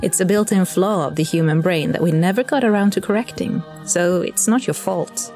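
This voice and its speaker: A mellow, lowish-voiced angel messenger, played by a woman, addresses listeners like an office official of heaven. The delivery is wry and politely condescending, over faint music in an audio drama. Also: moderate pace, close to an everyday voice. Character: chatty, bureaucratic female angel messenger addressing prophets. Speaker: narrator and in-story host. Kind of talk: scripted narration, addressing listeners.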